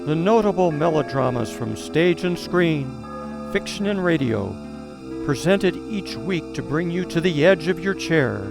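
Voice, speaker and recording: Creaky-voiced male radio announcer over music. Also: on the high side, expressive, measured pace. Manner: formal, dramatic. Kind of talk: scripted old-time radio announcing.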